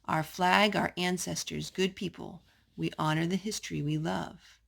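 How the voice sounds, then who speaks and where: A woman's voice: on the low side, steady tone, moderate pace, vocal fry. A woman hosting a children's storytime, reading picture books aloud and chatting with a young child through simple questions and asides. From North Carolina.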